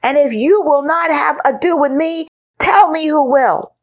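A woman voicing a pompous six-year-old boy knight who makes grand, loud declarations. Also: sits high, unhurried, pitched above the performer's own voice. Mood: boastful.

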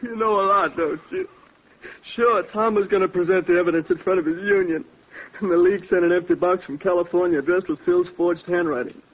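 High-voiced, cornered crook. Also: male voice, a little fast. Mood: cornered, agitated. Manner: agitated, reluctant. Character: cornered, nervous male criminal confessing to a bombing. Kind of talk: 1940s-50s radio crime drama acting. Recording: dull old-time radio recording.

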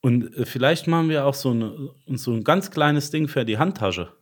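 A man's voice: mid-range voice, moderate pace. A man co-hosting a podcast in casual, joking banter with his friends. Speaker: podcast co-host.

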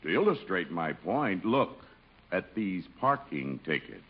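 An actor playing a folksy, wheedling middle-aged police chief in a 1950s radio comedy, talking deliberately and coaxingly. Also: male voice, low voice, very animated, husky, exaggerated voice. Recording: muffled vintage 1950s radio recording.

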